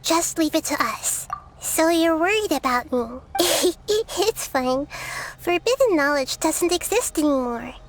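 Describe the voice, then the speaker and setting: Casting: a small, wise young goddess in a game, very high, soft-spoken, thoughtful. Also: female voice, lively intonation, measured pace. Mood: reassuring. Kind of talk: video game character acting.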